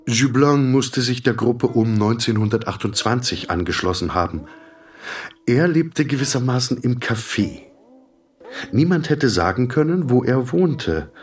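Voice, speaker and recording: A man's voice: medium pitch, far from the mic, lightly acted. An actor playing a weary, detached middle-aged male patient, narrating his inner thoughts in a reflective, sardonic literary monologue.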